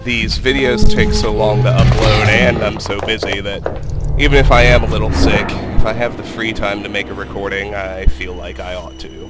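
A man hosting a let's play, calmly talking through the game and reading its text aloud, his voice a little weak from a cold. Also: fairly low pitch, normal speed. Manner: calm, methodical, reads in-game text aloud. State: has a cold, voice slightly weak. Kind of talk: casual gameplay commentary. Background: game music.

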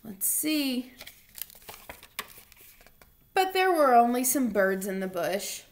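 Young woman, fairly high voice, children's storytime reader, recorded indoors at home. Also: big pitch swings, takes it slowly, slight background noise. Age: young adult. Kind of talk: read-aloud children's storytime. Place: small home room, indoors.

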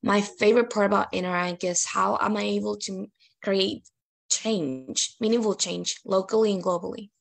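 Young woman with a gravelly edge. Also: mid-range voice, steady tone, moderate pace. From Venezuela. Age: young adult. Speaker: alumni panelist. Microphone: video call.

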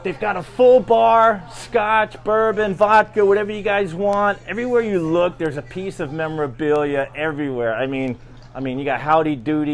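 A middle-aged man hosting a casual food vlog in a diner, talking enthusiastically in short bursts through a face covering. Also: a little high. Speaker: restaurant review host. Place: inside a diner, moving through rooms.

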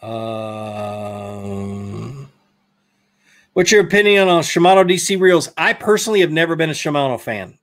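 A man's voice: on the high side, very animated, normal speed, pauses often. A man in his thirties with a Southern US accent, hosting a fishing live stream and casually answering viewer questions in a rambling, self-correcting way. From Georgia, US. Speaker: fishing channel host. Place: indoor studio room, brick wall. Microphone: desk mic in front.

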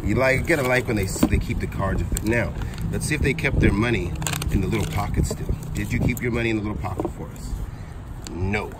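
A man in his forties, chatty and excited, talking to viewers while unboxing outdoors beside storage units. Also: on the low side, brisk. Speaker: storage auction reseller. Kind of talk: casual unboxing vlog. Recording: outdoor noise behind voice.

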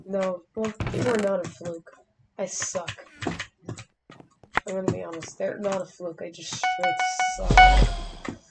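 A woman with a raspy, hoarse voice from being sick, giving casual gameplay commentary, easily frustrated and prone to sudden startled outbursts. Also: on the low side, steady tone, takes it slowly, long pauses, over loud music. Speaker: gamer. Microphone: desk microphone. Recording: loud background noise with keyboard and mouse clicks.

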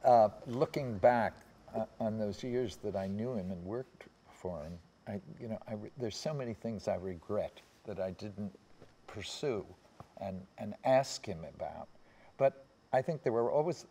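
An American man in his seventies reminiscing in anecdotes on a panel, haltingly, often trailing off and circling back. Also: fairly low pitch, dark tone, breathy edge. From United States. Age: 70s. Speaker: panel guest recalling personal memories. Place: seated on a theatre stage.

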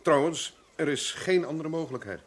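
A man playing a calm, methodical scientist in an old radio play, explaining things in a measured way. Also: on the high side, close to an everyday voice. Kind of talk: 1950s-style radio drama acting.

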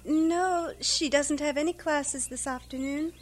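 High-voiced, homely English missionary woman. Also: unhurried, a little hiss or hum. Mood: brisk, friendly. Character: brisk, motherly English missionary schoolmistress who loves tea. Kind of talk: audio drama acting.

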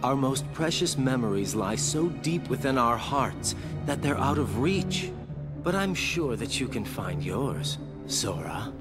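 A man voicing a smug, mocking young villain in a video game cutscene, with teasing, theatrical, drawn-out phrasing. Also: medium pitch, sing-song. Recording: loud music under the voice.

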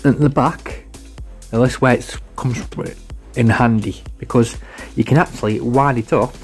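A man's voice: mid-range voice, measured pace, faint music behind. A man giving a casual, informal product demonstration, rambling and repeating himself as he goes. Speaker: product reviewer.

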